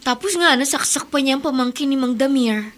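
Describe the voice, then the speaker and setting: An eager younger sister. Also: female voice, high-pitched, fairly quick, fairly bright tone. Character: younger sister, chatty and shaken.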